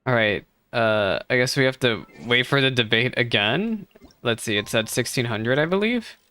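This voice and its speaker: A woman with a very deep voice chats casually as she reacts to a video game. She talks back to the characters like a streamer, close and dry on the mic. Game music sits behind her. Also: lively intonation, moderate pace, a bit bright, no room sound. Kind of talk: casual live reaction commentary.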